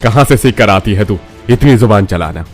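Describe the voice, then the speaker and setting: Man voicing a stern, low-voiced angry father. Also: lively intonation, normal speed, soft background music, natural voice. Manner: harsh, threatening. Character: stern, angry father berating his daughter. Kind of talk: Hindi family cartoon voice acting.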